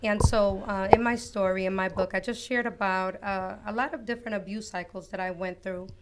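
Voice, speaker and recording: A woman from Costa Rica, a guest author on an interview show, telling her life story in an earnest, reflective, unhurried way. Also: medium pitch, steady tone, background noise. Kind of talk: personal testimony in an interview.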